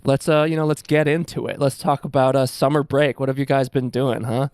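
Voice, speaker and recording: A man's voice: mid-range voice, fairly even intonation, a little fast, a little mellow, no echo, clean studio sound. A man hosting a podcast in casual, informal chat, with slangy and profane talk. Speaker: podcast host.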